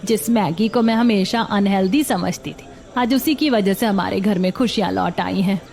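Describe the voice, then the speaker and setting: A woman's voice: mid-range voice, normal speed, creaky, a little mellow, close to an everyday voice. A woman playing a proud, affectionate older mother-in-law in a cartoon family tale. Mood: grateful. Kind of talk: cartoon dialogue acting. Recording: background music under voice.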